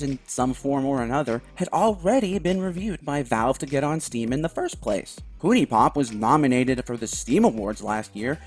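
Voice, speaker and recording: A man with a slightly high voice delivers conversational opinion commentary. His pitch moves around in a lively way as he makes his points emphatically, with a wry, sarcastic edge. Music plays faintly behind him. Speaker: video commentator. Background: background music.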